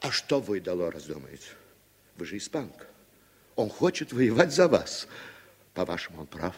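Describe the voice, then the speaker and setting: An actor playing a worldly, pragmatic journalist in his late thirties, husky and persuasive, in Soviet radio drama style. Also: low-pitched, big pitch swings, normal speed, exaggerated voice. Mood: urging. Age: 30s.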